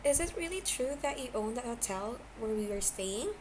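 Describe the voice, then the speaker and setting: A woman voicing a gracious, curious young man with a gentle, questioning manner in an amateur story reading. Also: fairly high pitch, lively intonation, moderate pace, voice pushed up, close to an everyday voice. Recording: clearly noisy amateur home recording.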